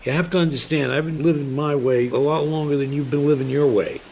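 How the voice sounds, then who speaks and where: An actor playing a stubborn, wounded, middle-aged joking dad in a naturalistic comedic radio play, plaintive and insistent. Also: male voice, fairly high pitch, fairly even intonation, brisk, darkish tone, a little hiss or hum, natural voice. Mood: pleading.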